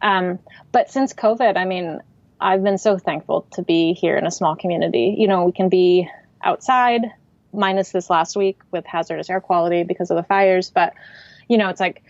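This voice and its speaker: A woman's voice: lowish voice, fairly even intonation, moderate pace, darkish tone, dry recording, highs cut off. A woman from the Pacific Northwest who grew up speaking Swedish at home, talking reflectively as a podcast guest, with frequent fillers. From Pacific Northwest, United States. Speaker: podcast guest, writer and artist. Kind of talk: casual interview conversation.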